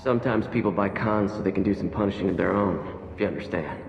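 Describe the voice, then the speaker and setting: A resigned convict in a game, slangy n blunt, lowish voice, roomy echo. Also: male voice, quick pace, vocal fry. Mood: jaded, resigned. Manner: slangy, blunt, matter-of-fact. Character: jaded streetwise male convict, rough and resigned. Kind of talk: video game voice acting. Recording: echoey, muffled, faint music.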